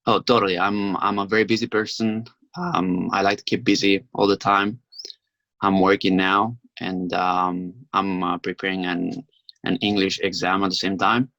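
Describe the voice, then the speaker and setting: A young man from Romania answering exam questions in a halting way, with frequent ums. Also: low-pitched, level tone, pushed and bright, no room sound, highs cut off. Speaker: exam candidate.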